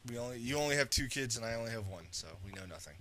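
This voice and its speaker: A man's voice: mid-range voice, animated, very fast, pushed and bright, poor audio. A man in his thirties who lives in New York City, co-hosting a casual parenting chat over a video call from home, teasing his partner with dry, wry remarks. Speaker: podcast co-host. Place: home room, indoors.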